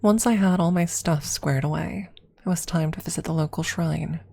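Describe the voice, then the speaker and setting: A woman with a creaky voice narrating a scripted story in an ASMR style. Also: sits low, moderate pace, a little mellow. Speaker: streamer and story reader.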